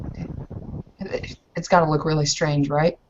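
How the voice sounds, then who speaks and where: Woman, casual roleplay narration, slightly low pitch, dull lo-fi recording. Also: flat delivery, moderate pace, slight background noise. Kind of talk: casual game chat and narration.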